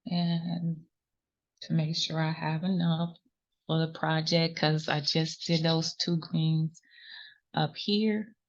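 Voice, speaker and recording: Crochet teacher, woman, deep and slow, counts stitches aloud, slightly sniffly. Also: level tone, dull audio. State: sniffly runny nose. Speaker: crochet tutorial host. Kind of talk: step-by-step crafting instruction.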